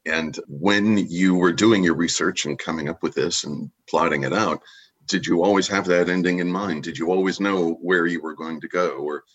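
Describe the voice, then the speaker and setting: A man's voice: deep voice, moderate pace, fairly bright tone, breathy edge, muffled recording. A man interviewing in a conversational style, asking questions and sharing his own experience. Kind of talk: conversational interviewing with personal anecdotes.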